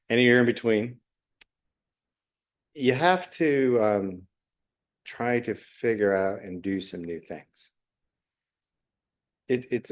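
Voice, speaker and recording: A middle-aged man talking unscripted as a solo podcast host, reflective and halting, often pausing mid-phrase. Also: on the low side. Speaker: podcast host, special education teacher.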